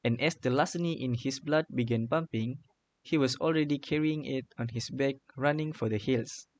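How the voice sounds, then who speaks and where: A man's voice: mid-range voice, normal speed. A man narrating a scripted fairy tale for a radio drama.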